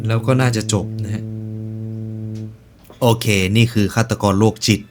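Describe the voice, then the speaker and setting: A man's voice: on the low side, fairly even intonation, a little slow, background noise. A man hosting a reaction video, commenting casually in slangy speech with joking asides and stretches of narration. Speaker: YouTube reaction host.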